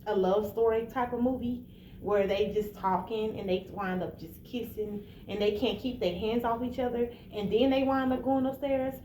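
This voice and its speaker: A higher-pitched American woman in her early thirties talks in an echoey room. She is blunt and informal, speaking straight to the listener with emphasis. A faint layer of noise sits behind her. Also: fairly even intonation, moderate pace, dark tone. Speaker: vlogger giving relationship advice. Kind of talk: candid casual advice monologue.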